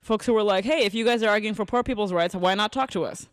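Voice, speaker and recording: Earnest high-voiced man, hesitant. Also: fast talker, fairly bright tone, clear, resonant tone, no room sound. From Bangladesh. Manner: earnest, hesitant, restarts often. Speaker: protest organizer, radio guest. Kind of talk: debating on talk radio.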